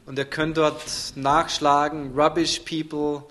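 A man's voice: fairly high pitch, little pitch movement, a little slow, fairly bright tone, a little hiss or hum. A man interpreting a sermon live, turning each short phrase into a fuller sentence right after the speaker.

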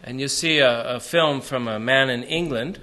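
An American man preaching to a congregation in short phrases, pausing for an interpreter after each one. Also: mid-range voice, sharp tone. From United States. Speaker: visiting preacher.

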